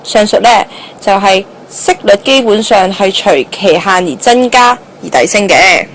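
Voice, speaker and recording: A woman's voice: medium pitch, lively intonation, measured pace, a little hiss or hum, close to an everyday voice, low-quality recording. A woman playing an aggrieved young job seeker with a short fuse in an amateur radio drama, with a Hong Kong Cantonese accent. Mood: rattling, businesslike.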